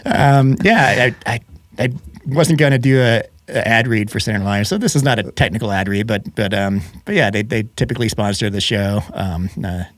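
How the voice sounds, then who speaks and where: A man hosting a casual podcast, a little hoarse from a head cold, chatty and quick to laugh. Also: lowish voice, no room sound. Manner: chatty, laughs often, frequent restarts. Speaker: podcast host.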